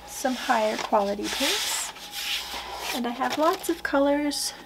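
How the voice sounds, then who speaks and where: A young woman doing a soft-spoken ASMR roleplay, hushed and gentle, often slipping into whispers, close to the mic in a small room. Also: high voice, lively intonation, unhurried, vocal fry, very noisy. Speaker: ASMR roleplayer playing a portrait painter. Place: small wood-panelled room indoors.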